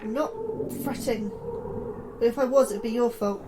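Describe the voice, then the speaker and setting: A woman's voice: fairly high pitch, normal speed, background noise, natural voice. A woman playing an anxious, prickly astrobiologist in a science fiction audio drama, fretful and defensive, thinking aloud. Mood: testy, worried.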